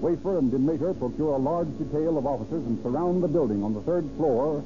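A man's voice: fairly high pitch, fast talker, background noise. Dramatic 1930s radio narrator, male. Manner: dramatic, formal reporting. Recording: muffled old radio recording. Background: music.

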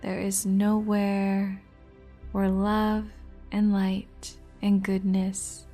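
A woman leading a guided meditation in a soft, breathy, near-whispered voice, gently, with long pauses between short phrases. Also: medium pitch, monotone. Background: music.

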